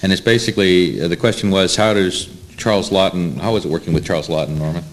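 A man's voice: deep voice, steady tone, quick pace, slight background noise. An American man acting as interviewer and moderator in an informal panel discussion. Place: panel table in a room with an audience.

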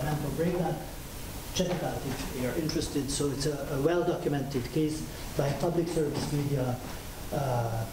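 Man lecturing in an echoey hall, far off. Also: on the high side. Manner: halting, many filler pauses, walks about gesturing. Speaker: keynote speaker. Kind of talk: academic conference lecture. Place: large lecture theatre with audience. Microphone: room mic from afar. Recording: echoey lecture hall, distant mic, noisy.

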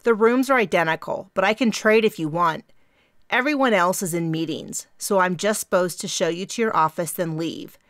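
A woman voicing a bubbly, chatty young woman with a faint Minnesota accent who talks fast in clipped phrases. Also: fairly low pitch, a bit bright, no echo, studio quality, close to an everyday voice. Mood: excited. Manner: fast, clipped, round vowels, bouncy.

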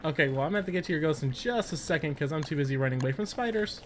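Excitable high-pitched sweary male gamer. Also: rapid-fire, a little hiss or hum. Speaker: gamer playing in co-op. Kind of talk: casual gameplay chat with a friend.

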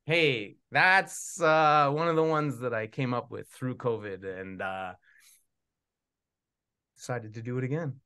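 A man's voice: mid-range voice, unhurried, pushed and bright, smooth. A middle-aged man, a puppeteer, chatting casually on a live video call. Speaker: puppeteer and author. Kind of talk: casual live online chat. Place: indoor room before a puppet stage. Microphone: video call, device at arm's length.

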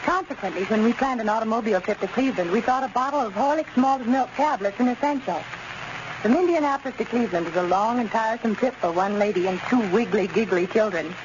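A woman's voice: on the high side, fast talker. A woman reading a scripted testimonial in a 1930s radio ad, precise and formal. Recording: muffled, noisy old radio recording.

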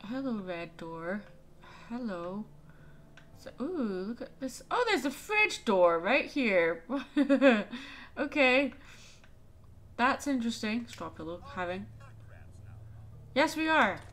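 A woman's voice: fairly high pitch, very animated, slow pace, pauses often, fairly bright tone, slight background noise. A woman hosting a let's play, chatting casually and exclaiming at what happens on screen. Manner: chatty, easily startled, exclaims at the game. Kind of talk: casual gameplay chat.

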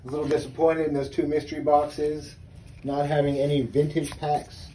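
A man casually chatting while opening trading card packs, relaxed and chuckling as he calls out cards. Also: moderate pace, slight background noise, poor audio. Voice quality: says he has a very deep voice. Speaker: channel co-host. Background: faint crinkling of card packs.

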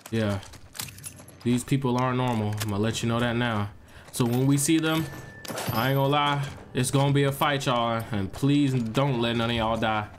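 A young man with a stuffed-up nose giving animated, joking gameplay commentary to his viewers. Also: medium pitch, moderate pace, a little hiss or hum. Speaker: gaming streamer.

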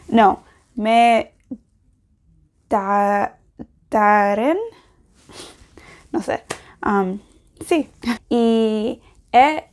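A young woman, a native English speaker, talking through a casual video diary in learner Spanish, halting and correcting herself with many fillers, recorded at home on a lapel mic. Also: mid-range voice, a little mellow. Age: young adult. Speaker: language learner vlogger. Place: indoor room at home.

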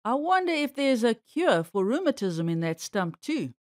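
Woman acting elderly gentleman rabbit, storybook, polite, old-fashioned, eager and trusting, highish animated pitch, dry sound. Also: normal speed, dry recording. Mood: musing, trusting. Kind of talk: children's storybook character voice.

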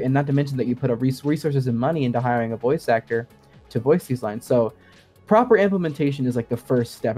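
Man, mellow voice, casual podcast guest, enthusiastic informal explainer, faint music behind. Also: mid-range voice. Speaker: guest sound designer.

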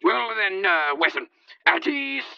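Teenage boy doing a gravelly, blustering police chief. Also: sits high, big pitch swings, a little slow, highs cut off, noisy background, pitched above the performer's own voice, exaggerated voice. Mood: sheepish, blustering. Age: teens. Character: blustering, impatient older male police chief.

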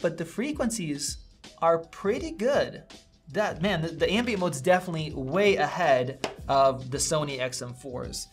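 A man's voice: on the high side, expressive, a little slow, faint music behind. A man in his thirties casually reviewing headphones, thinking aloud as he tries them on at a desk in a small studio room. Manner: upbeat, conversational, thinking aloud while testing. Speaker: tech reviewer. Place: small indoor studio room with a vent running.

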